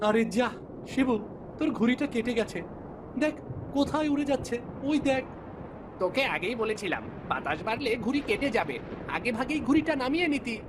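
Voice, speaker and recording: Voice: mid-range voice, very animated, a little fast, background noise, lightly acted. A performer voicing sensible village boys in a cartoon who warn their friend in a friendly, chatty way. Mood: scolding. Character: sensible village boys, cautious kite flyers. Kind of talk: cartoon voice acting.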